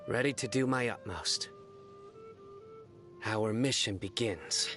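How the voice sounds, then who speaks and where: A composed, dutiful warrior from an anime game. He speaks slowly in a measured, formal way, with soft music underneath. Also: fairly low pitch. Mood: composed, resolute.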